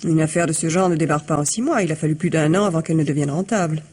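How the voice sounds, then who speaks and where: A woman's voice: low-pitched, rapid-fire, darkish tone, slightly rough. A woman playing a cool, self-possessed secretary who parries questions curtly in a radio mystery drama. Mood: cool, defiant. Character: cool, defiant, guarded female executive secretary.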